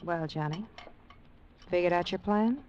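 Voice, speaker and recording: A woman's voice: fairly low pitch, a little slow, darkish tone, highs cut off, slight background noise, close to an everyday voice. An actress playing a bitter, commanding female crime boss in a 1940s radio drama, with curt, sardonic delivery. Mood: cool, coaxing. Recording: old radio broadcast with music underneath.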